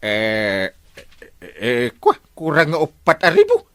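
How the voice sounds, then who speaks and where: Flustered, wildly swooping village captain. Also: male voice, on the high side, huge pitch swings, takes it slowly, fairly bright tone, clean tone, no room sound, studio quality, full character voice. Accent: Ilocano. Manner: stammering, repeated wailing exclamations. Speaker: comic radio drama actor. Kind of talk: broad comedy radio drama acting.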